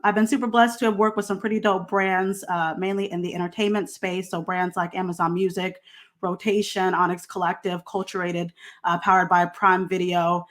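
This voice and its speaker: A woman's voice: mid-range voice, fairly bright tone. A woman from Missouri who works as a social media marketer, introducing herself on a panel in an upbeat, friendly way. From Missouri, USA.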